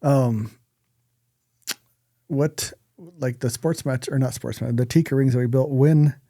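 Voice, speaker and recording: A man with a mid-pitched voice full of gravelly fry and a relaxed, mellow tone. He co-hosts a casual podcast, speaking bluntly and informally on a dry recording. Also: measured pace, no echo. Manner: blunt, informal, prone to swearing. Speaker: podcast co-host.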